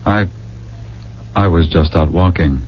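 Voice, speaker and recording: A subdued, confused character in radio drama style. His voice is deep, his delivery hesitant and dazed. The recording is dull, with faint hum. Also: measured pace. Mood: dazed, troubled. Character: dazed, subdued man with damaged memory. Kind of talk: 1980 radio drama acting.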